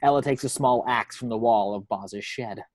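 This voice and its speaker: A man narrates the scene directions of a film script. His voice sits at an average pitch, and the sound is dry, without room echo. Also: moderate pace, darkish tone. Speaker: reader of action descriptions. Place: at home.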